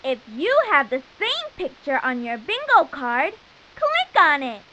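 Super high peppy little girl explorer for a kids game, slow and really swoopy, music behind. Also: huge pitch swings, full character voice, poor audio. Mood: friendly instructing. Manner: bright, emphatic, slow and clearly enunciated, exclaiming praise. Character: cheerful, encouraging little girl explorer, about seven. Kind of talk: children's game voice acting, instructing the player.

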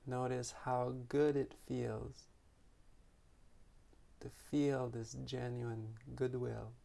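A man leading a guided meditation as its teacher, speaking gently and unhurriedly with long pauses between phrases. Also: mid-range voice, little pitch movement, vocal fry.

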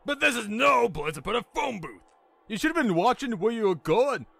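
A man voicing a boastful, excitable older mad scientist in a blustering, exclamatory manner for a story. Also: high-pitched, normal speed, gravelly, dry recording, voice pushed up, full character voice. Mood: indignant.

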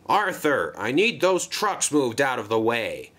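A man's voice: medium pitch, sing-song, a little slow, fairly bright tone. A man voicing a grumpy, bossy dockside crane in a children's train story, grunting and barking orders. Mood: bossy, impatient.

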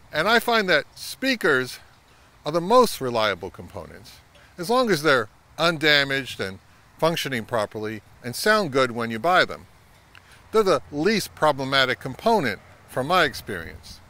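A middle-aged man giving a measured, instructional talk to camera as a vlog host, recorded outdoors. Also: fairly high pitch, sharp tone. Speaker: vintage audio channel host. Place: outdoors by a pond and on a wooded trail.

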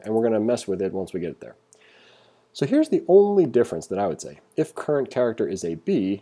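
A man's voice: on the low side, mellow, husky. A man giving a casual coding tutorial as an instructor, informal and self-deprecating, thinking aloud as he works. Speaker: programming instructor. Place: indoors at a computer, screen recording voice-over.

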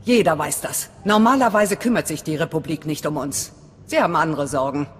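Eine Schauspielerin als strenge, zurückhaltende Anführerin einer Widerstandszelle in einem Videospiel, befehlend und sachlich. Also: female voice, deep voice, brisk, slight background noise, natural voice. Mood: commanding. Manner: commanding, matter-of-fact. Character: stern, guarded female resistance cell leader. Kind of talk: dramatic video game dialogue in German. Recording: long hall reverb. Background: background music.

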